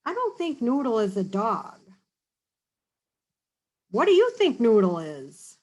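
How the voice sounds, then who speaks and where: Slow, lively teacherly woman reader. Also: a little high, muffled recording. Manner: questioning, encouraging, teacherly. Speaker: read-aloud storyteller for children. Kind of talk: children's picture book read-aloud with teaching asides.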